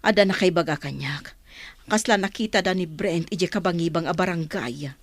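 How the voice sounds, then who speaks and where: A woman's voice: lowish voice, normal speed, no echo. An actress playing an anxious wife urging her husband to search in a radio drama.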